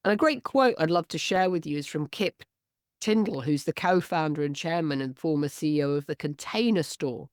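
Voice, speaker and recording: A woman hosting a business podcast, hoarse from a cold, talking alone in an earnest, explanatory way. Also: low voice, normal speed, no echo, studio quality. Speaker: podcast host. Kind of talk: solo business podcast talk.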